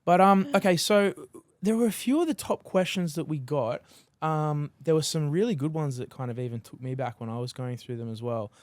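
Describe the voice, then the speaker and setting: A man's voice: on the high side, brisk, no echo. A man hosting a podcast interview, chatting casually and rambling with lots of filler words. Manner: casual and rambling, many fillers, laughs between phrases. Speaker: podcast host.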